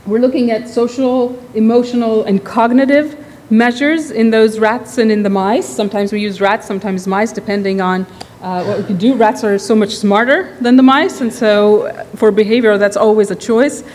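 A woman's voice: a little high, darkish tone. A middle-aged woman with an Israeli accent, a neuroscience professor giving a conversational academic lecture at a podium mic. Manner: quick, conversational, with restarts. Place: large hall with reverb. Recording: reverberant hall, faint background noise.

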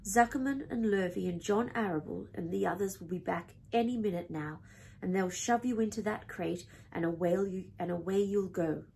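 A woman voicing a weary, dying female spider in a children's storybook, composed, matter-of-fact and gently firm. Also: medium pitch, normal speed, creaky, a little hiss or hum, close to an everyday voice. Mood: sensible, calm.

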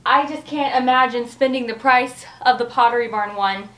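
Expressive, high-voiced woman vlogging casually. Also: normal speed, poor audio. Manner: gushing, expressive. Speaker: home decor vlogger. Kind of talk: casual, chatty review to camera. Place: furnished bedroom indoors.

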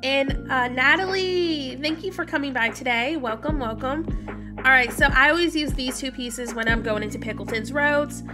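A woman's voice: sits high, normal speed. A woman in her thirties, stuffy from a cold, chatting casually with viewers while livestreaming a game and greeting them by name. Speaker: streamer. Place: home room, indoors. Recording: music playing behind voice.

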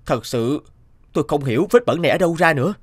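Man acting earnest young soldier, higher-pitched. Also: animated, quick pace, dry recording, studio quality. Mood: determined. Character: earnest young male soldier, respectful and determined.

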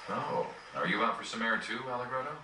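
A man playing a calm, serious young rebel leader in a video game, recorded off a TV. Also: medium pitch, lightly acted. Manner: measured, serious. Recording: roomy, distant, noisy TV capture.

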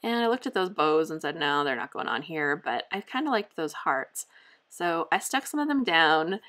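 A woman's voice: fairly low pitch, fairly bright tone. A middle-aged woman casually narrating her scrapbooking process as a video host, chatty and self-amused. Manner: chatty, self-amused, with frequent light laughs. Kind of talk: casual crafting voice-over narration.

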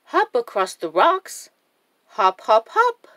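Female children's storybook reader. High voice, unhurried, deliberate and expressive delivery. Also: no room sound. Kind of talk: expressive read-aloud for young children.

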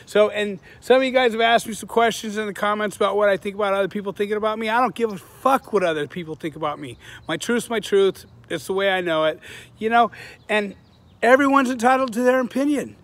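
A fairly high-voiced man in his forties rambles to his followers in a loose, earnest, profane way. He is standing outdoors on a sunny suburban street. The sound comes from a phone held at arm's length. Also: fast, a bit bright. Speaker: vlogger, channel host. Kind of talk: casual selfie vlog to viewers.

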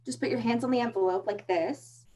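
A woman's voice: fairly high pitch, fairly quick, warm tone, natural voice. A young woman with an American accent playing a pushy, teasing teenage best friend in a play reading, blunt and sardonic. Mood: impatient, coaxing. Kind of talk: virtual staged play reading. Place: small room, indoors. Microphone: computer video call.

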